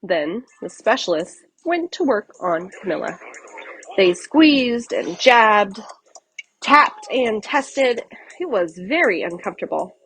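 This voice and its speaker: A woman reading a children's picture book aloud as an expressive storyteller. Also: mid-range voice, slow, slight background noise, low-quality recording.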